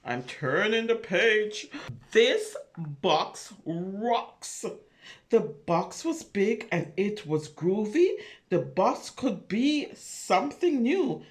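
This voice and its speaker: A woman reading a picture book aloud to preschoolers, expressive and full of wonder, pausing to put questions and little asides to her young listeners. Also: mid-range voice. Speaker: children's storyteller.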